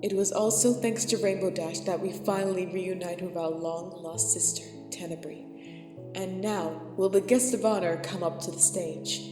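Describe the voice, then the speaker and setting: A woman playing a gentle, regal pony princess with a measured manner in a fan audio drama. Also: lowish voice, steady tone, lightly acted. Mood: ceremonial. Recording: echoing hall reverb under music.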